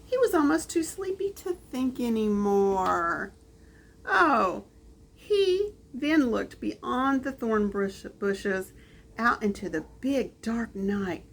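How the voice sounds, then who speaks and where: A woman reading a picture book aloud to a small child, adding drawn-out, expressive asides. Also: fairly high pitch. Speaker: storyteller.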